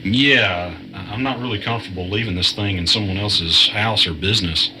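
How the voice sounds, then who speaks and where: A man's voice: on the low side, normal speed, close to an everyday voice. An actor playing a wary, nervous audio editor telling his uneasy first-person story in an audio drama. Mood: uneasy, reluctant. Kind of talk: audio drama narration and dialogue. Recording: clearly noisy background.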